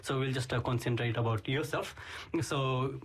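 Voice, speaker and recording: A middle-aged man hosting a community radio interview in a studio, talking slowly with many filler pauses. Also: mid-range voice, steady tone, breathy edge. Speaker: radio program director and host.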